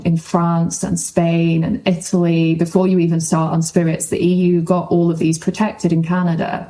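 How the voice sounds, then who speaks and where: A woman's voice: fairly low pitch, dark tone, poor audio. A woman speaking as a guest journalist in a conversational podcast interview.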